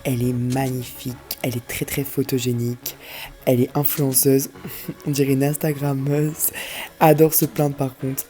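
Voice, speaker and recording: Low-voiced young woman, chatty voice-over. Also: flat delivery, moderate pace, mellow, reverberant. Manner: chatty, playful, heavy with slang. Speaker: vlogger narrating her camp video. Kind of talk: casual voice-over introducing friends.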